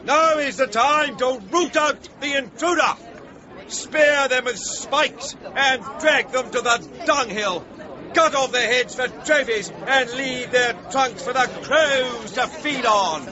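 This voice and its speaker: High, bellowing pompous English gentleman warrior. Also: slow pace. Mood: bloodthirsty rallying. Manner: declamatory, grandiose. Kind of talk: radio drama acting. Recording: slightly dull sound amid outdoor crowd din.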